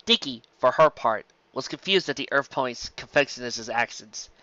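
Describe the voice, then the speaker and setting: A male story narrator with a bright, forceful voice and animated intonation. He reads scripted fiction expressively. The sound is very dry and slightly dull. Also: mid-range voice, moderate pace, no room sound. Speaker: narrator, fan fiction reader.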